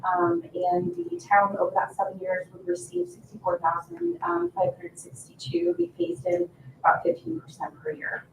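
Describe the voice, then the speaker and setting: An American woman, an economic development official, haltingly presenting figures at a formal meeting. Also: extremely high pitch, very animated, gravelly. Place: indoor town council meeting room. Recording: echoey meeting room, distant mic, faint noise.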